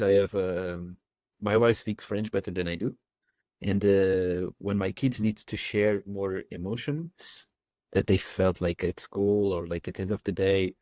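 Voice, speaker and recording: A man with a Portuguese accent, a guest on a relaxed podcast. His voice sits low and the sound is dry and close. He speaks earnestly and plainly. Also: measured pace, dry recording. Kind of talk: casual podcast conversation.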